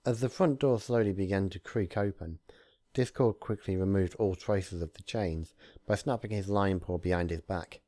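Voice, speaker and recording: A man narrates fan fiction as an amateur reader, moving between storytelling and informal commentary. His voice is fairly low and very mellow. The recording is dry, with hardly any room sound. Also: normal speed, no echo.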